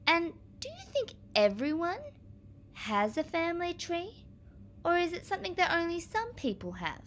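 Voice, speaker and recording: A woman teacher reading a picture book aloud to young children, expressively, pausing to explain and ask guiding questions. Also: extremely high pitch, slight background noise. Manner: expressive, instructive, addressing young children directly.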